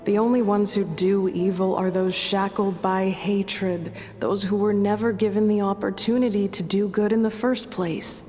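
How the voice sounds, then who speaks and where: Calm earnest game heroine, played by a woman, mid pitch, long reverb, faint music. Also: moderate pace, few pauses, close to an everyday voice. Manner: composed, earnest. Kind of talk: video game voice acting.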